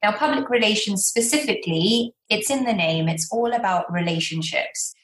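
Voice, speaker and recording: A woman's voice: mid-range voice, moderate pace, fairly bright tone. A woman with a British accent, speaking as an expert guest and explaining things conversationally in an interview. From Malta, born in southern England. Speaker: guest public relations expert. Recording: echoey room sound.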